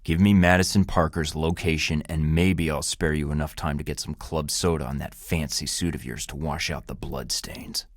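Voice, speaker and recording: A sardonic young spy from a video game, tossing off dry wisecracks that turn to menace when pushed. His voice sits very low and deep. The sound is close and dead dry, with no room around it. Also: fairly even intonation, normal speed, few pauses, no echo. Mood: menacing. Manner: dry, sarcastic quips with flashes of menace. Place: recording studio.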